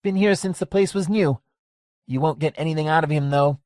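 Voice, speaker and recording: A man putting on a high folksy old-timer hangout owner. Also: a little fast, a little mellow, no room sound, clean studio sound, close to an everyday voice. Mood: wistful.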